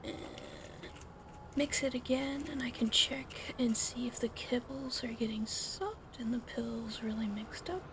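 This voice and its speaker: A woman whispering softly in an ASMR roleplay, caring but gently bossy, recorded close to the mic at home with a fan running behind her. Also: a little high, a little slow. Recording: fan noise in background.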